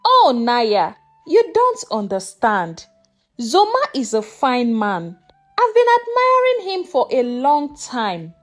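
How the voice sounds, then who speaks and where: A woman's voice: sits high, wildly swooping pitch, takes it slowly, soft background music, heavily acted. A woman voicing a sly, flirtatious elder sister with a playful, teasing manner in a folktale. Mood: playful, smug.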